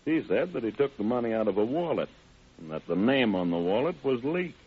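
An actor playing a steady, persistent sheriff's investigator who questions people methodically in an old radio crime drama. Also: male voice, mid-range voice, brisk, husky. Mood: pressing, confident. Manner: methodical questioning, plain-spoken. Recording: muffled, narrow-band old radio broadcast.

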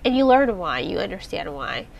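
A woman's voice: lowish voice, sing-song, moderate pace, gravelly, highs cut off, slight background noise. Congested, nasal woman chatting casually. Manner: chatty and rambling, with many fillers and restarts. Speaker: podcast host reviewing books. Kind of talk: casual spoken book review.

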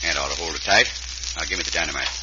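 An actor playing a tough, scheming American outlaw in an old-time radio western. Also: male voice, a bass voice, rapid-fire. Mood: businesslike. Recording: very noisy, dull vintage radio recording.

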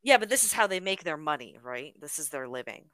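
A woman's voice: low voice, very animated, brisk, fairly bright tone. A woman hosting a podcast, chatting casually and trailing off into fillers. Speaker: podcast host.

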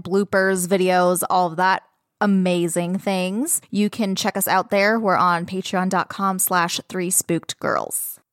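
A woman co-hosting a casual podcast, upbeat and quick to laugh. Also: medium pitch, dry recording, studio quality. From living in Alaska. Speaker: podcast co-host. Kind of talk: casual chat.

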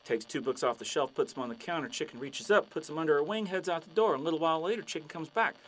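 A man telling long, shaggy-dog jokes with a deadpan delivery in a radio drama. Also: fairly high pitch, fast talker, natural voice, poor audio. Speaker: joke teller.

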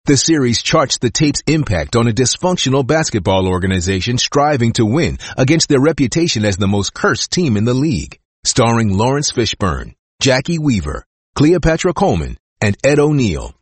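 A male announcer giving a smooth, measured scripted ad read. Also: mid-range voice, big pitch swings, no echo.

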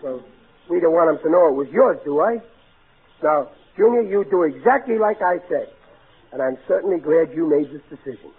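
A very raspy, fairly high-voiced working-class father from an old radio comedy. He is good-natured and blundering, stammering through folksy, ungrammatical talk. The recording is tinny and narrow. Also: expressive, moderate pace. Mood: firm, flustered. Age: middle-aged. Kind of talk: 1940s radio comedy acting.

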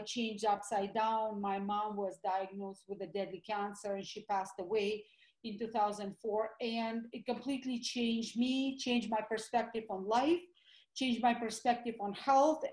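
A woman's voice: fairly high pitch, a little mellow, echoey room, poor audio. A middle-aged woman with a Russian accent, a guest doctor telling her story eagerly in a call-in interview. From former Soviet Union.

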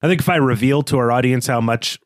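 A man with a mid-pitched voice discusses literature as a podcast host. He is analytical and reflective, thinking aloud as he goes, in a dry recording with little room sound. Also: fast talker, dry recording.

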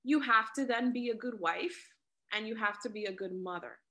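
A woman in her late thirties speaks with a bright, forceful tone and a somewhat high pitch. She is earnest, summarizing and expanding on what her co-host says in a casual conversation. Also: normal speed. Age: late 30s. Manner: earnest, summarizing and building on the other speaker's points. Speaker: podcast co-host. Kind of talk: casual personal chat.

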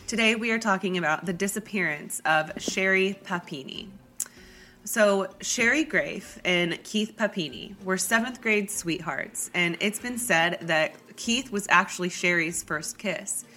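A woman's voice: mid-range voice, measured pace, sharp tone, slight background noise. A young woman with a cold, hosting a true crime podcast in a chatty style with many fillers. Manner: chatty, lots of likes and ums. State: congested with a cold. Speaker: podcast host.

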